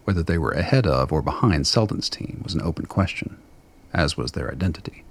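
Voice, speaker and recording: A male story narrator with a remarkably deep voice. It is full of fry and rasp as he reads scripted dramatic prose. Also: animated, brisk. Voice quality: gravelly, husky.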